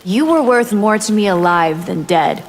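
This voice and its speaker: A woman's voice: mid-range voice, lively intonation, moderate pace, heavy background noise, close to an everyday voice. Woman playing a disdainful vampire in a reverberant hall. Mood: scornful. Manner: taunting, disdainful. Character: cold, scheming female winged vampire warrior. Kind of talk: video game character dialogue. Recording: long reverb, loud music behind.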